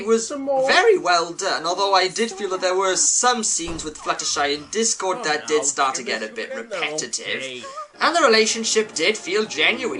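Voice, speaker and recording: A reviewer giving a scripted, opinionated commentary with emphatic delivery. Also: mid-range voice. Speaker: host, reviewer.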